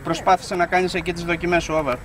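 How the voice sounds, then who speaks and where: Greek male ham radio operator, slightly high voice, repetitive procedural call-outs, recorded outdoors over clear background noise. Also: level tone. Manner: repeats words and call signs. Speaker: amateur radio operator making a field contact. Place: outdoors on a hill. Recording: noisy outdoor recording with vehicle sounds.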